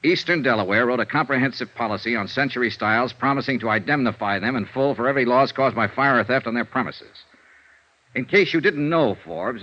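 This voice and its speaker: A man's voice: mid-range voice, pushed and bright. An American man acting a tough, blunt, persistent insurance investigator in a vintage radio detective drama. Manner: brisk, blunt, hard-boiled, pressing. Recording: dull lo-fi vintage radio recording.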